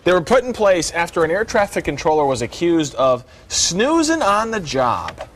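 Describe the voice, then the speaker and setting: A man's voice: on the high side, very animated, moderate pace, a bit bright. A man with an American accent working as a TV news anchor, reading news and chatting casually with co-hosts. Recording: clearly noisy background ambience.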